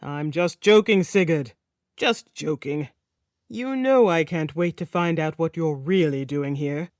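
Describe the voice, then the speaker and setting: A woman's voice: low-pitched, a little slow, darkish tone, no echo, studio quality. A woman doing a chatty, musical-voiced Nordic king. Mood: teasing. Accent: Nordic, put on. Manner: effusive, teasing, sing-song. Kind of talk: audiobook character voice.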